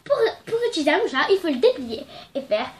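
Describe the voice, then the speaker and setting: A woman's voice: high voice, sing-song, fast talker, background noise. A young woman with a stuffy nose from a cold, chatting playfully and rambling through a craft tutorial filmed at home on her phone. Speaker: craft vlogger. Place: at home indoors. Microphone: phone camera.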